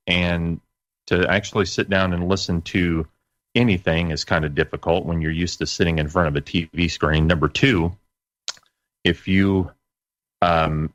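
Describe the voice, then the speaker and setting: A middle-aged man chatting as an interview guest in a halting, conversational way, on a slightly muffled recording. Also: low voice, fairly bright tone, no echo. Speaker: interview guest, internet radio station operator. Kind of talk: casual interview chat.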